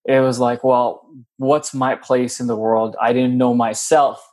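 A man's voice: mid-range voice, little pitch movement, darkish tone. A man from Pakistan, a podcast guest, talking about his life in a friendly, reflective way over a video call. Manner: friendly, reflective, drawn-out phrasing. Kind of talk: casual podcast interview.

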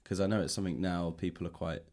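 A man hosting a conversational podcast and interviewing a guest, softening his phrasing as he goes. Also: deep voice, steady tone, fast, no echo.

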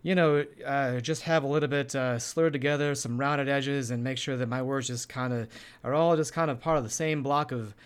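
A man's voice: mid-range voice, steady tone, normal speed, clear, resonant tone, close to an everyday voice. A man doing a lazy, slurring, unconcerned speaker. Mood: lazy, relaxed. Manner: slurred, rounded, loosely blended words.

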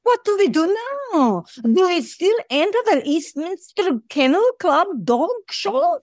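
An earnest female dog, played by a woman, talks in a very high, lively voice. It is audio play acting, unhurried and swooping. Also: no echo, studio quality, full character voice.

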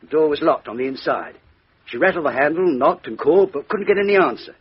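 An actor with an English accent playing a dutiful police constable giving a formal report in a 1950s radio drama. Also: male voice, fairly high pitch, expressive, fast talker, husky. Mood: matter-of-fact. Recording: muffled old radio recording.

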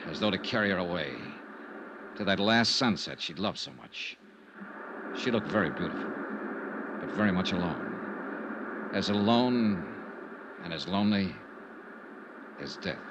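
A man's voice: low-pitched, expressive, a little slow, pauses often, lo-fi sound, noisy background. An actor playing a tough, world-weary investigator narrating his case in a clipped, dramatic 1950s radio style. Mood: grave, somber. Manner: clipped, dramatic, wistful storytelling. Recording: 1950s radio recording with music behind.

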